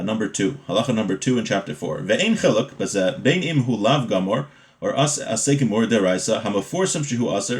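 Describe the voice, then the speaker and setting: Man teaching an informal religious law lesson. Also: lowish voice. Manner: explanatory, checks in with rhetorical questions.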